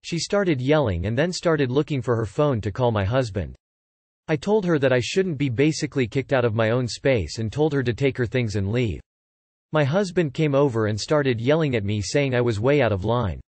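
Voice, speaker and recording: A man's voice: mid-range voice, lively intonation, moderate pace, a little mellow, no echo. Man reading posts in a dry, close voice. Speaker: narrator reading online posts. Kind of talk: flat scripted reading.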